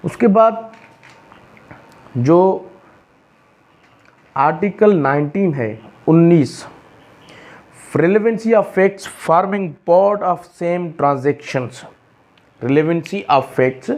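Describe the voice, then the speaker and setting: A man with a Pakistani accent teaches law in a slightly high-pitched voice. He speaks very slowly and deliberately, reading formal provisions and then explaining them. Key phrases come back again as he explains. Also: expressive, a little mellow. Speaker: law lecturer.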